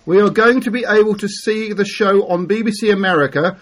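A man's voice: high voice, brisk. A man hosting a casual quiz among friends, joking and stumbling over his words as he rambles. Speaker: quiz host.

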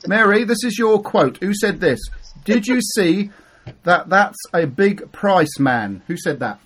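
Casual quiz host, male, rambling and jokey, animated voice. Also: sits high, measured pace, a little hiss or hum. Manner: jokey, rambling, stumbles over words. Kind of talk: casual quiz chat among friends.

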